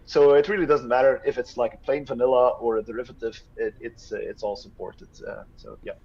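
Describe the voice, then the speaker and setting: A man, a workshop speaker and startup founder, answers audience questions in a hesitant way with many ums and restarts, trailing off quietly at the ends. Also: medium pitch, steady tone, fairly quick, breathy edge. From Belgium. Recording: slightly muffled lo-fi audio.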